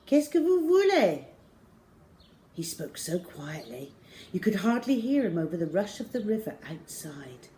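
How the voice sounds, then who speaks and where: A middle-aged woman reading a children's novel aloud to schoolchildren in a measured, deliberate way. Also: fairly low pitch, big pitch swings, mellow, a little hiss or hum. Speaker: storyteller for schoolchildren. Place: small plain room indoors.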